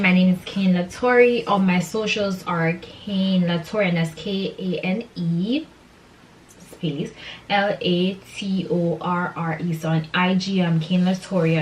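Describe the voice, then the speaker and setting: A woman's voice: fairly low pitch, steady tone, measured pace, slight background noise. A young adult woman from Jamaica chatting casually and motivationally to her viewers, emphatic and repeating key phrases for stress. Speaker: vlogger addressing subscribers. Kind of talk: casual motivational chat to camera. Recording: distant microphone.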